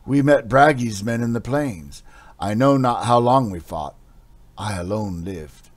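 A man's voice: fairly low pitch, expressive, measured pace, voice pushed up. A man voicing a dazed, half-delirious barbarian hero in a read-aloud fantasy story. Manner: hazy, strained, intense.

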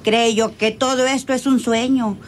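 Actress as a high-voiced saucy country moll. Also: female voice, steady tone, a little slow, lightly acted. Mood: dreamy, vain. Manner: rustic, colloquial, saucy. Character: sassy rustic bandit's woman, vain and dreamy. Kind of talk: radio serial acting. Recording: noisy old radio serial recording.

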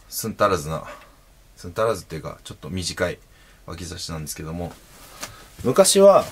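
A man in his thirties talks to the camera in a small indoor room, explaining things patiently and stopping often on fillers. His voice sits low but rises and falls widely, hopping up in pitch to stress key words. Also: slightly rough. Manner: explains patiently with many fillers and pauses, demonstrating with a sword. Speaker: sword channel host. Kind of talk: casual explanatory talk to camera.

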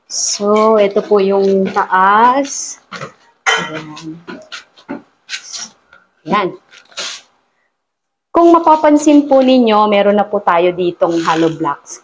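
A woman's voice: mid-range voice, slight background noise, low-quality recording. A middle-aged woman giving a polite tour of her house under renovation, guiding the viewer courteously from room to room. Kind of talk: polite walkthrough narration.

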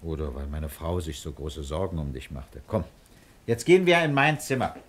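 An actor playing a calm, fatherly middle-aged police commissioner in a German radio crime drama, patient and persuasive as he coaxes and probes. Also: rumbling low, moderate pace, echoey room. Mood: gentle, paternal.

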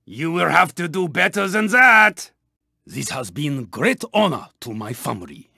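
An actor voicing a proud, intense, honour-bound racing car in a video game, with a Japanese accent, a raspy voice and clipped, formal delivery. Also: male voice, a little high, very animated, unhurried, bright, forceful tone, no room sound, studio quality, full character voice. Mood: stern, indignant. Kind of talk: video game voice clips, short barks.

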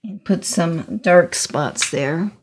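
A middle-aged woman teaching watercolor step by step, speaking haltingly as she thinks aloud while painting. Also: fairly low pitch, slightly rough. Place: indoors, small studio room at a table.

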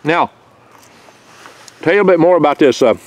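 A man's voice: fairly high pitch, normal speed, darkish tone. An older man hosting a foraging vlog outdoors in his garden, talking in a rambling, folksy, chatty way with small jokes and stumbles, recorded on a handheld camera mic held close. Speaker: foraging channel host. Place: outdoors in a garden among pine trees.

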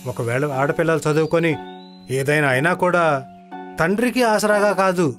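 A man's voice: on the high side, normal speed, fairly bright tone, soft background music, natural voice. A man playing an opinionated, old-fashioned village headman who gives preachy advice in a moral story. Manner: advising, preachy. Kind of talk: dramatized moral story voice acting.

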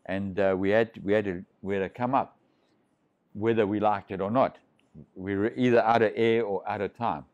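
A man's voice: on the low side, fairly even intonation, moderate pace, a little mellow, highs cut off. A middle-aged man, a shark diving pioneer, telling long rambling stories over drinks on a living room sofa, full of restarts. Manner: rambling, restarts, swears freely. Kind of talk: casual storytelling over drinks.

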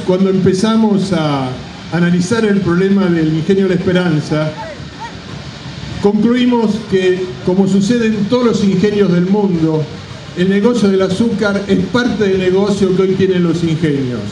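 A middle-aged man delivers a formal speech in a high, mellow voice. He speaks deliberately, with pauses, into an outdoor podium microphone that sounds distant and echoing. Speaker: company representative giving a speech. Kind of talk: public speech. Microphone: microphone on a stand at a podium. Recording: roomy distant PA microphone, background noise.

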